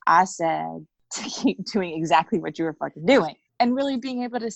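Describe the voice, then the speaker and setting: A woman's voice: lowish voice, lively intonation, brisk, gravelly, dry recording, muffled recording. A woman in her thirties speaking frankly as an interview guest, acting out little bits of dialogue as she talks. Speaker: coaching client, interview guest. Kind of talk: candid conversational interview.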